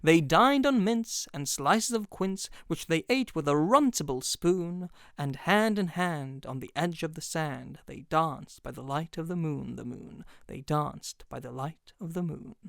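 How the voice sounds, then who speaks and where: Expressive male storyteller, very lively pitch. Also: a little slow, gravelly, hardly any pauses, no room sound, studio quality. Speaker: voice actor.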